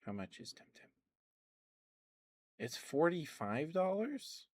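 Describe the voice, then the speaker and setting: A man's voice: on the high side, big pitch swings, slow, darkish tone, no echo, clean studio sound. A man streaming ASMR, rambling casually to live viewers with frequent ums and little laughs. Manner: meandering, chatty, lots of ums and little laughs.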